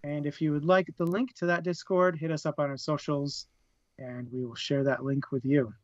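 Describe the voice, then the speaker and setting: Chatty man, slightly higher voice. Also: flat delivery, normal speed, no echo, muffled recording. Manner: chatty, laughs often, trips over words. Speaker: co-host of a live book discussion stream. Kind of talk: casual live-stream chat, plugs and rundown.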